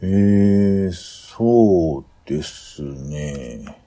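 Casting: male co-host, relaxed mellow voice with a husky edge, casual and inquisitive. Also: sits low, drawn-out words. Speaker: podcast co-host giving reactions. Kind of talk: casual chat.

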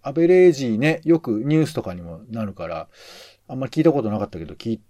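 A man's voice: mid-range voice, lively intonation, fast, dark tone, no echo. A man co-hosting a podcast, talking casually in a musing, explanatory way that often hedges. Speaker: podcast co-host.

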